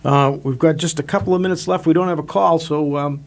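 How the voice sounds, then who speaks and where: Man, radio talk show host, rambling, many fillers, gravelly, higher pitch. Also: fairly even intonation, fairly quick. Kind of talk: radio call-in show hosting.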